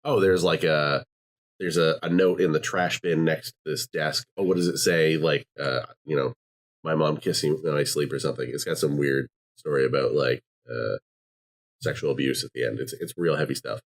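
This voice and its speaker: A man's voice: extremely low pitch, fairly even intonation, normal speed. A man hosting a podcast, talking casually about games and rambling with many fillers and restarts. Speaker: podcast host. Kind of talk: casual chat.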